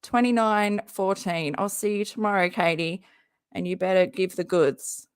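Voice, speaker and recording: Fields: Sex: woman. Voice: gravelly and hoarse. Style: chatty live-stream host. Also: medium pitch, fairly even intonation, moderate pace, dry recording, clean studio sound. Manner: chatty, jokey, talks to her viewers. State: hoarse, often losing her voice. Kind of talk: casual live-stream reaction chat.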